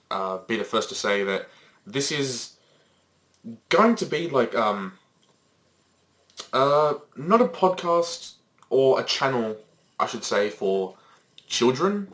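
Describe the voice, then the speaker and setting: A man's voice: medium pitch, lively intonation, takes it slowly, pauses often, pushed and bright. A teenage man co-hosting a casual podcast, rambling with lots of fillers and swearing freely. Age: teens. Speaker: podcast co-host. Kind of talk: casual chat. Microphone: Skype call recording.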